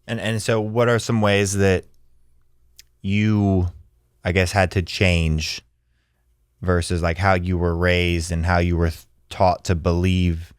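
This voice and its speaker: A man with a very deep, smooth and resonant voice hosts a podcast in a relaxed, casual way, often filling pauses with ums and likes. The sound is close and dry, with no room around it. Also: dry recording. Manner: easygoing, lots of ums and likes. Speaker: podcast host.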